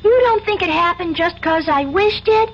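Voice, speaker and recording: A woman's voice: very high voice, normal speed. An actress playing a stubborn young country girl in a radio drama. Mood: stubborn, curious. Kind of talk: 1970s radio drama acting. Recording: dull old radio recording, faint noise.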